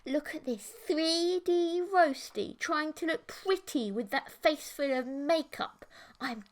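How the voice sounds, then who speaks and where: Give the audiobook a bitter forum dweller, a man devoted to alien girlfriends, as a woman performs him. He rants in a raised pitch, dripping contempt and sneering at everyone else. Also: slow. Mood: sneering disgust. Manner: ranting, contemptuous. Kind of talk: audiobook character voice.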